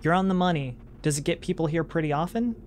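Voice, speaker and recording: A man's voice: on the high side, quick pace, slight background noise, voice pushed up, lightly acted. A man voicing a worried young father with a Southern twang, speaking in a strained, apologetic way.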